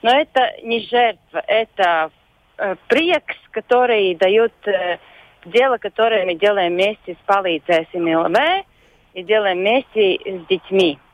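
A woman with a Latvian accent, whose first language is Latvian, speaks as a guest on a radio show by phone. She talks hesitantly and often pauses to search for words. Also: mid-range voice, animated, no echo. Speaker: volunteer guest. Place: phone call into a radio studio. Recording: dull lo-fi phone line.

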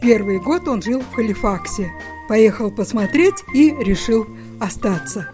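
A woman hosting a radio program, asking direct questions in a brisk manner. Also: medium pitch, expressive, over music. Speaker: radio host. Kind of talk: radio interview.

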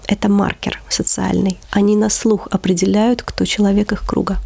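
A woman's voice: medium pitch, level tone, moderate pace, creaky, a little mellow, breathy edge. A woman, an English teacher who runs a children's theater, talking expressively as an interview guest and sometimes dropping to a whisper for emphasis.

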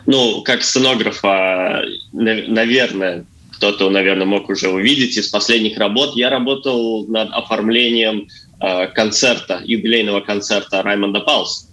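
A man in his thirties talks as a guest in an interview. His tone is clearly bright and focused, at a fairly ordinary, slightly low pitch. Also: normal speed, low-quality recording. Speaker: actor and stage designer, interview guest. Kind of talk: interview answers.

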